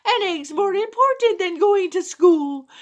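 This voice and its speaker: Woman doing a worm wife, shrill, indignant, high storybook voice. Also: animated, unhurried.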